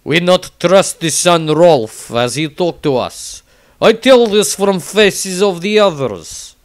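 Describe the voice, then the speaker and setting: A man voicing a blunt, suspicious fantasy warrior who reads his diary loudly in broken grammar. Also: high voice, animated, slow, voice pushed up. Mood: suspicious, gruff.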